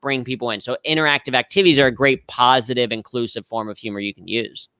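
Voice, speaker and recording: Slightly nasal man, smooth and dry. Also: medium pitch, steady tone, fast talker, pushed and bright, no room sound. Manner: upbeat, explanatory, self-deprecating. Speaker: guest, humor trainer. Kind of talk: conversational interview answers.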